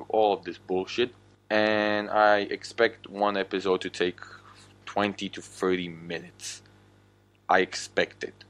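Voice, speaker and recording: Low-voiced Slovak-accented podcaster. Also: male voice. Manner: blunt, swears freely. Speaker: podcast host. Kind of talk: casual podcast monologue.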